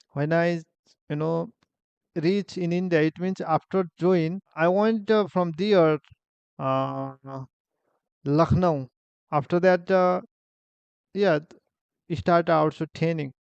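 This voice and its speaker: A man in his forties from Nepal, with a Nepali accent, telling his own life story in a halting, plain way with many fillers. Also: high voice, darkish tone, clear, resonant tone, no room sound, highs cut off. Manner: halting, many fillers and restarts, simple phrasing. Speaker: vlogger. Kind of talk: personal story, casual monologue.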